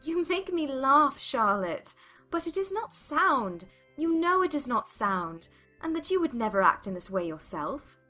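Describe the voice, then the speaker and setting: Woman as spirited young period gentlewoman for audiobook drama: high, bright, earnest, formal, over music. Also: very animated, unhurried, exaggerated voice. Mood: amused disbelief. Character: lively, principled young gentlewoman, quick to object.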